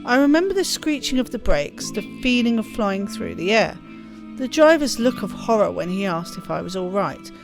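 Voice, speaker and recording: Woman as rebellious teen girl, sardonic, defiant, middle pitch, game story monologue, background music. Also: very animated, normal speed. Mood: wry recollection. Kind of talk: first-person story reading in a game.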